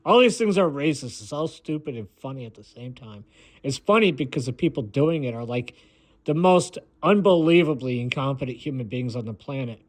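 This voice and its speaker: An American man giving a rambling, opinionated monologue in a blunt, dismissive way, often halting and repeating himself. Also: mid-range voice, animated. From United States. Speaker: video maker.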